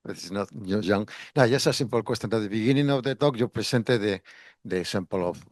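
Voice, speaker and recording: A man in the audience asking a researcher a question, halting and searching for words. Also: on the low side, dry recording, clean studio sound.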